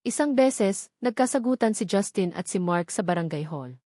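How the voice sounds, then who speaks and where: Woman narrator, earnest storytelling, mid pitch, dry close mic. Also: lively intonation, normal speed, dark tone, dry recording. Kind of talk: scripted dramatic narration.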